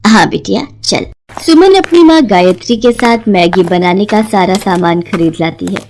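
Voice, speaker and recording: A woman's voice: mid-range voice, darkish tone. A woman narrator reading a scripted moral story.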